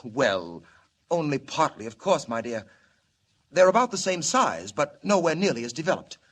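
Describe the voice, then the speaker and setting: An actor playing an earnest, urgent alien scientist in grave, theatrical 1940s radio style. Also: male voice, on the high side, big pitch swings, moderate pace, a bit bright. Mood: earnest, explaining. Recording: old 1940 radio recording, echoey in places.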